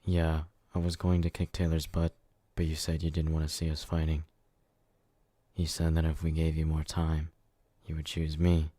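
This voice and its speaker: An actor playing an obsessive, jealous, brutish yet devoted lover in an ASMR roleplay, with an intense, clingy murmur. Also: male voice, a bass voice, completely flat delivery, moderate pace, dark tone, no room sound. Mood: grudging. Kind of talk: ASMR romantic roleplay acting.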